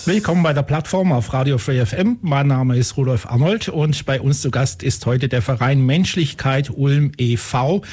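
A man hosting a radio interview, asking questions in a relaxed, loosely worded way. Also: mid-range voice, normal speed, hardly any pauses, slightly rough. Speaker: radio host.

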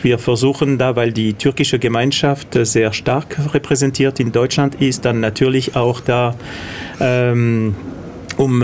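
A middle-aged man from Catalonia speaks with a Spanish accent shaped by years in France. He pauses often with fillers and searches for words, at an average pitch. A faint hiss sits behind him. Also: a little mellow. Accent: Spanish, with French influence. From Catalonia, Spain. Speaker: guest, association organizer. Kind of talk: interview answers.

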